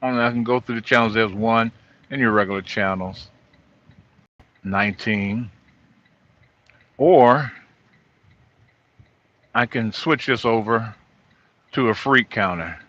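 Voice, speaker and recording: A middle-aged or older man, a trucker, giving an informal, halting walkthrough of gear from inside his truck cab. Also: fairly low pitch, a bit bright. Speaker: truck driver vlogger. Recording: dull lo-fi audio.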